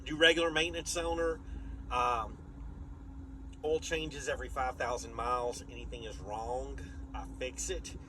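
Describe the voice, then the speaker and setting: A man's voice: mid-range voice, steady tone, normal speed. A man chats casually and plainly in a folksy, rambling vlog style. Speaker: vlogger. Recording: clear vehicle and outdoor noise.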